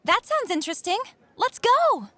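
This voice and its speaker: A woman's voice: very high voice, big pitch swings, normal speed, bright, forceful tone, heavily acted. A woman playing a caring, curious teenage schoolgirl in an audio manga, with music behind. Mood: curious cheerful. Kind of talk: audio manga dramatic acting.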